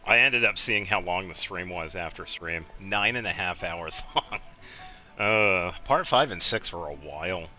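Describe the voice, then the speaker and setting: A man casually commentating a game on a live stream, chatting with viewers and thinking aloud in a low-key, rambling way. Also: sharp tone. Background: game music.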